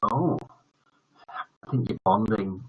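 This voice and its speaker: Husky, rambling older man. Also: on the low side, takes it slowly. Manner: rambling, jokey, often trailing off. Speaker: host of a children's bedtime story livestream. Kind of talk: casual livestream chat with viewers. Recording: dull lo-fi livestream audio.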